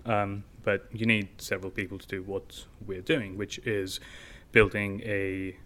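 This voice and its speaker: A man's voice: lowish voice, level tone, measured pace, fairly bright tone, a little hiss or hum. A man talking informally as an interview guest, explaining things with everyday examples. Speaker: guest, tech company founder.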